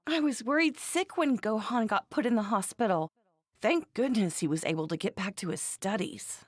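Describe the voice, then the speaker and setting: A woman voicing a fretful, scolding anime mother in a video game, blunt and expressive. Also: medium pitch, moderate pace, no room sound, natural voice. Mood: concerned. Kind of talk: animated game voice acting.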